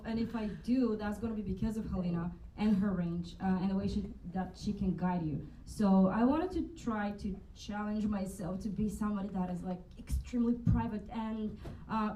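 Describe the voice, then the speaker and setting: A woman's voice: medium pitch, mellow. An actress tells her story animatedly and thoughtfully. She is picked up from a distance, with faint noise underneath. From Bulgaria. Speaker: actor on a panel. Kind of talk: panel Q&A answers.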